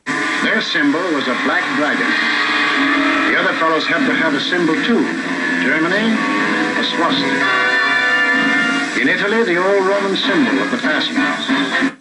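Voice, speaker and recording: Old 1940s propaganda film narrator, man, dramatic swooping delivery, loud music behind him. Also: on the high side, expressive, normal speed, long pauses, low-quality recording. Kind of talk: 1940s war propaganda newsreel narration, scripted.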